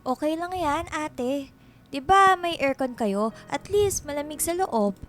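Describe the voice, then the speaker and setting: A woman's voice: sits high, animated, a little slow, a bit bright, faint music behind. A woman playing a carefree, wheedling younger sister with a playful manner in a radio drama. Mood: casual, nosy.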